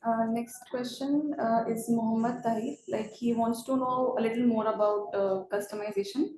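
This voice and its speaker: A woman moderating a live webinar, casually relaying audience questions in a halting, filler-heavy way. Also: fairly high pitch, fairly even intonation. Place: indoor room, webinar call. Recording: echoey room with faint background noise.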